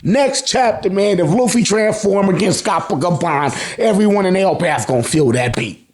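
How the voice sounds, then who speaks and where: Hyped American man with very animated pitch. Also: normal speed. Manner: hyped, emphatic, repeats phrases for effect, swears freely. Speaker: host of an anime and manga review channel. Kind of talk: excited fan recap and commentary, slangy street talk.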